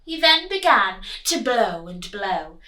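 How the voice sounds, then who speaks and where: A woman teacher reading a rhyming storybook aloud to children in a sing-song, animated way, with little asides to her listeners. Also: on the high side, slow pace, far from the mic. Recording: echoey room, voice from the mic.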